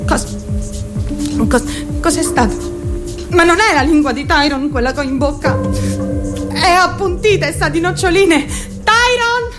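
An actress playing a naive, lovestruck and traumatized young woman in an intimate, halting radio drama monologue. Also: extremely high pitch. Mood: frightened, desperate. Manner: intimate, halting, childlike self-questioning. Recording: music behind the voice. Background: music.

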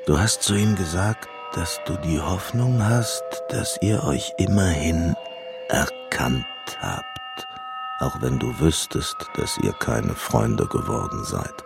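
Tired soldier in a radio play, crazy low fry, drags the words out, halting, loud music behind. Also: male voice, lively intonation, full character voice. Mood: halting, reflective. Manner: halting, deliberate.

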